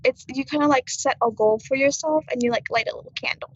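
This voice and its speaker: A woman's voice: high voice, quick pace, breathy edge. A teenage girl from Colombia, a student guest who talks casually and hesitantly. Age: teens. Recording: muffled lo-fi recording.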